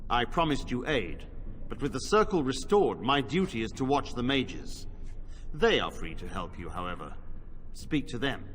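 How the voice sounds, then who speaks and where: Male actor authoritative game knight commander, stern, formal, animated pitch, long reverberant hall. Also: moderate pace, lightly acted. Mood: formal, grateful. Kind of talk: fantasy video game voice acting. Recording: long hall reverb, faint background noise.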